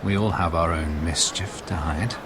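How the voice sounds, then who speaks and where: An actor playing a smooth, calculating noble merchant in a game, with a silky, courtly, insinuating manner. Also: male voice, low-pitched, moderate pace, background noise, lightly acted. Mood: sly, persuasive. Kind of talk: dramatic game cutscene acting.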